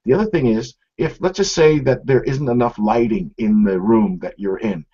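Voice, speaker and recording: A man's voice: mid-range voice, normal speed, warm tone, breathy edge. A middle-aged man giving an informal product demonstration, explaining conversationally and speaking straight to viewers. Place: small office room, indoors. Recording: dull, lo-fi webcam stream sound.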